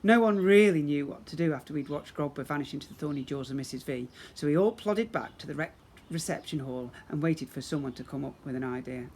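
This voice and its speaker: A man's voice: fairly high pitch, animated, fast, few pauses, a little mellow, slightly rough. A man narrating a children's story expressively, as a teacher reading to young pupils. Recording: faint background noise.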